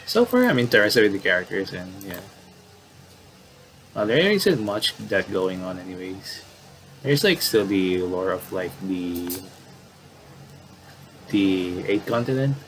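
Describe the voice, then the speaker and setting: A man's voice: low-pitched, animated, a little slow, pauses often, fairly bright tone, a little hiss or hum, poor audio. A man commentating on a game playthrough, casually reading story text aloud and often stumbling and rereading phrases.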